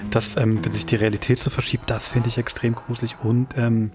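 A man's voice: medium pitch, level tone, brisk. A man with a Swiss German accent hosting a podcast in casual discussion. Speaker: podcast host.

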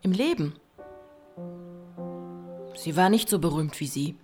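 An actress playing a searching, direct woman in a radio drama, speaking in short, deliberate phrases. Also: low-pitched, expressive, darkish tone. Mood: reserved. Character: searching, direct Polish woman, daughter of a composer. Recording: music behind the voice.

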